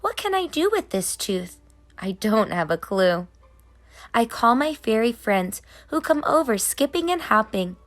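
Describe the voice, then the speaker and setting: A woman reading as a busy, whimsical, gently scolding tooth fairy in a children's storybook, in rhyme. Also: fairly high pitch, big pitch swings, measured pace. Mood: puzzled, then excited. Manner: sing-song rhyming delivery. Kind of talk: children's storybook narration in character.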